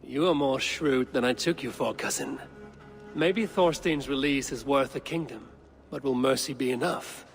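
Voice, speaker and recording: A man's voice: medium pitch, normal speed, natural voice. A man playing a shrewd, blunt Viking warrior and loyal kinsman in a video game cutscene. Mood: counseling, resolute. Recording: music under the dialogue.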